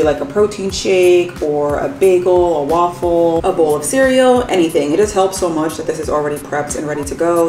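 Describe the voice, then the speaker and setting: Low-voiced young American woman, roomy sound. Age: young adult. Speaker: fitness vlogger and host. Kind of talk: casual vlog chat, explaining to viewers. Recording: roomy echo, music behind.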